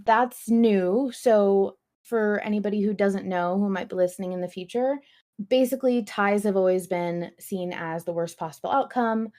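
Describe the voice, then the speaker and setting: A woman's voice: mid-range voice, fairly even intonation, normal speed, darkish tone. A woman hosting a podcast, talking casually in a chatty, explanatory way with some emphasis. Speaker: podcast host.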